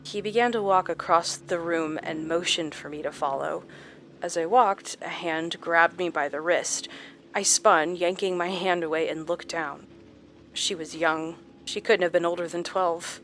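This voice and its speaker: An actress playing a shaken woman recounting her captivity in a horror audio drama, halting and dramatic with deliberate pauses. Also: lowish voice, steady tone, a bit bright, slight background noise. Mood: tense, haunted. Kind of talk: first-person horror audio drama narration.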